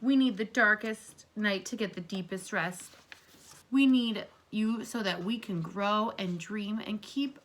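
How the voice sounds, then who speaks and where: A woman's voice: mid-range voice, unhurried, fairly bright tone, natural voice. A woman reading the part of a wise, kindly star in a children's storybook, with an earnest, uplifting manner. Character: wise kindly star affirming light and dark.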